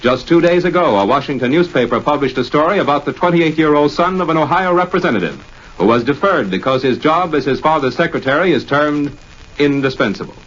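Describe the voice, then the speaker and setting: A man's voice: fairly high pitch, animated, a little fast, fairly bright tone. An American man reading scripted 1940s radio news as a staff announcer. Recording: muffled vintage 1940s radio broadcast.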